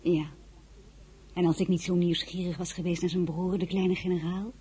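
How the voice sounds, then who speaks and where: An actress playing a dignified, quietly defiant French princess and mother in a historical radio drama, measured and often dropping to near whisper. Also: on the low side, flat delivery, slight background noise, lightly acted, rough recording. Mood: weary, reflective. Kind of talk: Dutch-language historical radio drama acting.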